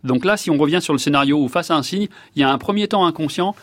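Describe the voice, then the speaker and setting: A man's voice: fairly high pitch, expressive, quick pace, no room sound. A man in his fifties, a neurologist guest, giving long reflective answers with many fillers and restarts. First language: French.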